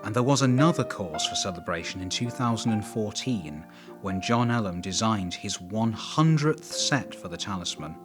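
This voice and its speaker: A man's voice: on the low side, animated, normal speed. A man reading scripted documentary narration, with wry asides. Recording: voice over background music.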